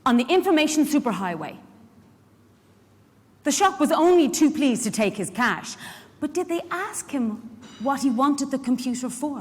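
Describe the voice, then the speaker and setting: An actress playing a busy, indignant care worker and mother in a chatty, emphatic stage monologue. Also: sits high. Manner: chatty, emphatic, confiding asides to the listener. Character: Stella, busy, warm-hearted, indignant working mother and community care worker. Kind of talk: dramatic monologue reading performed live. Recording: echoey hall, live stage reading.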